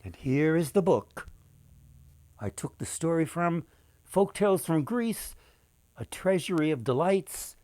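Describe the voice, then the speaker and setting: Slow, dramatic older male storyteller. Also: a little high, animated, dark tone, no room sound. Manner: deliberate, dramatic pauses, addresses listeners directly. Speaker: author and storyteller. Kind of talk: expressive children's story reading.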